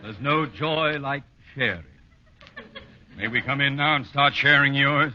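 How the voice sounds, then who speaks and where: A man's voice: mid-range voice, unhurried, heavy background noise, close to an everyday voice. An actor playing a pompous, hungry, middle-aged family man in an old radio comedy, easily exasperated and sarcastic. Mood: dryly impatient. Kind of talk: 1950s-style radio situation comedy acting. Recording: dull vintage radio recording.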